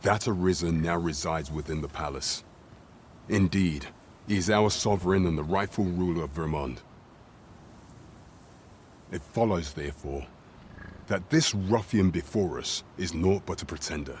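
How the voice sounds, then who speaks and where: A deep-voiced captain of the royal guard played by a man, formal and authoritative. He speaks in measured, old-fashioned phrases for a fantasy game, over faint ambient noise. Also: natural voice. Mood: stern, commanding. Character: stern, commanding guard captain of a fantasy kingdom. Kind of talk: video game voice acting, archaic formal speech.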